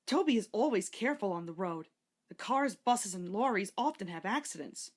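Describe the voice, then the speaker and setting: A woman's voice: medium pitch, lively intonation, moderate pace. A woman reading a scripted children's story aloud as narrator.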